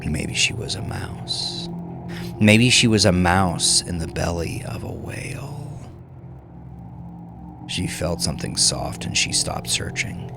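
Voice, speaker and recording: A man reads dramatic horror fiction in a deep voice with plenty of gravel. His delivery is slow, hushed and intense. Music plays clearly underneath him.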